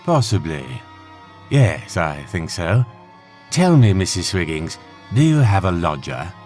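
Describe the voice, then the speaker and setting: Casting: male actor as rational gentleman sleuth, low-pitched, courteous, measured, faint music beneath. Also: very animated. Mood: thoughtful. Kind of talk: fan audio drama acting.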